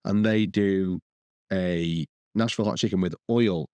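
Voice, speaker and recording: A man's voice: low-pitched, measured pace, warm tone, clean tone, dry recording. A man co-hosting a podcast, rambling and restarting sentences as he lists the dishes he cooked. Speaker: podcast co-host. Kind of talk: casual chat.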